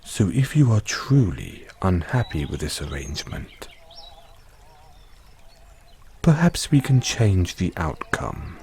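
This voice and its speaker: A man's voice: low voice, big pitch swings, a little slow, vocal fry, a little mellow, slight background noise, heavily acted. A man playing a devoted, formal butler with a painful past, speaking solemnly and deferentially in a scripted audio roleplay. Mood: gentle, earnest.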